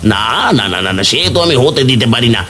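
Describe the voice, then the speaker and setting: A man's voice: mid-range voice, sing-song, moderate pace, sharp tone, a little hiss or hum. Scheming village villain voiced by a man. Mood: gloating, scheming. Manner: gloating, repeats words, villainous laughs. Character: scheming, spiteful village snack-seller, cowardly when caught. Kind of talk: cartoon story voice acting.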